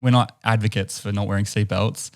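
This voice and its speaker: Young NZ man, twenties, casual podcast storyteller on a close mic, animated, given to chuckling. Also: on the low side, brisk, vocal fry. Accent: New Zealand. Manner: animated storyteller, laughs mid-sentence. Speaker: podcast co-host. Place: home room with stone wall, indoors. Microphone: boom studio mic close up.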